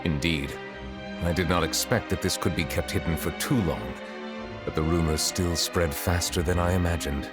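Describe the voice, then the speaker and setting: A man voicing a dignified, reserved chief justice in a video game, formal and composed, with measured wording. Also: deep voice, steady tone, lightly acted. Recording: music behind the voice.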